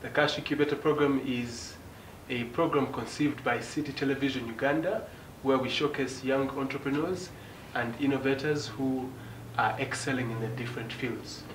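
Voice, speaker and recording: Male TV host and interviewer, mid-pitched voice, probing follow-ups; roomy studio sound with clear noise. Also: steady tone, moderate pace. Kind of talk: hosting an interview. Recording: echoey studio with background noise.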